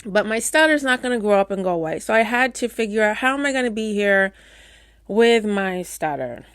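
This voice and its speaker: Middle-aged woman doula, stutters, open and encouraging, plain mid-pitched voice, dry close recording. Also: expressive, fairly quick, smooth, no room sound. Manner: stutters, warm and candid with playful asides. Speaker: doula and course teacher. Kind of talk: casual advice talk to camera.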